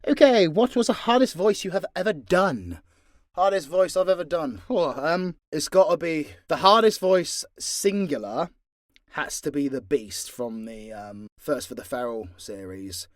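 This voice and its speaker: A man's voice: a little high, expressive, measured pace, dry recording, studio quality. A British man answering fan questions in a casual, unscripted, sweary and jokey way, as a voice actor and audio creator. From Britain.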